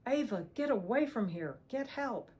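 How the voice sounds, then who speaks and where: A woman's voice: medium pitch, normal speed, warm tone, lightly acted. A woman narrator voicing an injured young man urging his companion to flee. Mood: urgent. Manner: urgent, pleading, breathless. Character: wounded protective young man, weakening.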